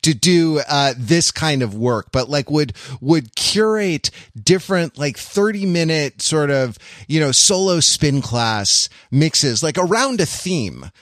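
A man co-hosting a podcast, chatting casually in a digressive, animated way with wry asides. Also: a little high, slow pace, hardly any pauses, no room sound, clean studio sound. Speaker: podcast co-host.

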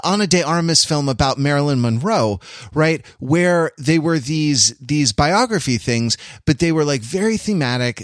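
Male podcast panelist, mid-pitched, wordy and analytical, stop-and-start delivery, close dry recording. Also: fairly bright tone, no room sound. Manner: halting, rambling, wordy and analytical. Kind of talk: casual film discussion.

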